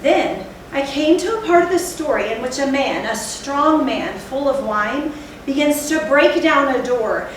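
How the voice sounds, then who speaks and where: High-voiced woman as a haunted Gothic narrator, echoey hall. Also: animated, unhurried. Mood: suspenseful. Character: haunted, fearful narrator recalling a night of horror. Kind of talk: theatrical Gothic storytelling in readers theatre. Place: theatre stage, recorded from a distance. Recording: echoey hall, distant mic, faint noise.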